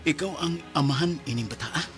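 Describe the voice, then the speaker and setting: A man's voice: on the high side, quick pace, over music, natural voice. A man playing a betrayed bridegroom in a radio drama, accusing and melodramatic. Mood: stunned.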